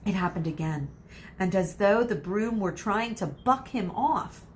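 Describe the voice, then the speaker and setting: A woman's voice: mid-range voice, lively intonation. Woman reading a storybook with dramatic emphasis. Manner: dramatic emphasis, pauses for suspense. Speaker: read-aloud storyteller, teacher. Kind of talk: expressive storybook narration. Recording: faint background noise.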